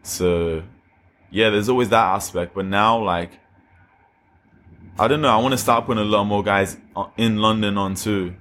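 A man's voice: fairly low pitch, steady tone, normal speed, pauses often, a bit bright. A young man from Australia and Nigeria, a skater guest chatting loosely with slang and lots of fillers. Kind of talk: casual chat.

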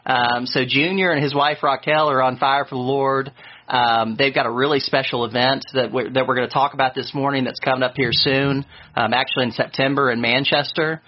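A man hosting a radio interview and reading sponsor notices, friendly and relaxed, laughing easily. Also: medium pitch, fairly quick. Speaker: radio host. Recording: muffled radio recording.

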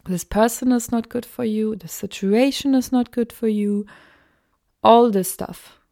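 A woman with a German accent talks through a solo spiritual podcast, searching for her words and slipping into soft whispers. Her tone is mellow and dark, with a gravelly fry under it. She sounds gentle and unhurried. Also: on the high side, steady tone. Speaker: podcast host.

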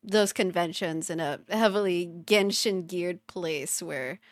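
A woman from the Philippines hosting a podcast, explaining her views in measured, thoughtful casual chat. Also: lowish voice, no room sound. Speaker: podcast host.

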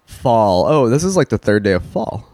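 A man's voice: fairly low pitch, big pitch swings, moderate pace, a little mellow, no echo. A man in his forties co-hosting a podcast in loose, joking casual chat, swearing freely. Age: 40s. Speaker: podcast co-host.

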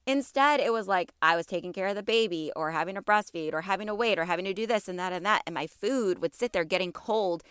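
A woman's voice: mid-range voice, fast talker, few pauses, clean tone, no echo, clean studio sound. A woman in her thirties hosting a wellness podcast, talking casually and encouragingly to listeners, her voice a bit stuffy from allergies. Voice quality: slightly congested. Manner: warm encouraging, asks listeners questions. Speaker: podcast host.

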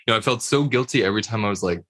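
A man with a low yet bright, forward voice leads a heartfelt discussion. He speaks casually, with earnest emphasis and an expressive delivery. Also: rapid-fire. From Puerto Rican family background.

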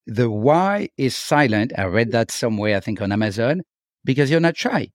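A man with a French accent hosting a podcast interview, speaking haltingly with frequent fillers and restarts. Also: medium pitch, expressive, no echo, studio quality. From France. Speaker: podcast host. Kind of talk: casual interview chat.

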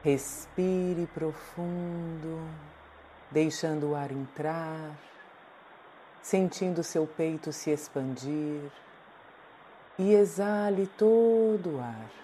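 A woman with a Brazilian accent narrating a guided meditation in a soothing, deliberate way, leaving long pauses. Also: sits low, warm tone, slight background noise. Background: meditation music.

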